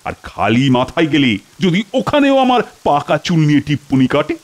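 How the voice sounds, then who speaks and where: A man's voice: on the high side, sing-song, a little fast. A man playing a vain, anxious middle-aged king in a comedy cartoon, dramatic, fussy and commanding in manner. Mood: hurt, fretful. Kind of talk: Bengali comedy cartoon voice acting.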